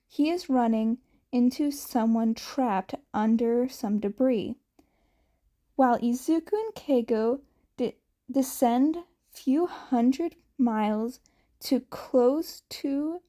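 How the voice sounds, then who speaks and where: A woman's voice: a little high, warm tone. A woman narrating a scripted story as a podfic reader, occasionally stumbling over the script.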